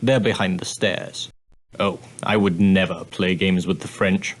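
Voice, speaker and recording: Casting: prim male ship's steward, game role. Lowish voice, formal, deferential, precise, dryly polite. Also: steady tone, a little slow. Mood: discreet, formal. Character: prim, proper, straight-laced ship's steward on an ocean liner. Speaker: video game character. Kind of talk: scripted game dialogue, giving guidance.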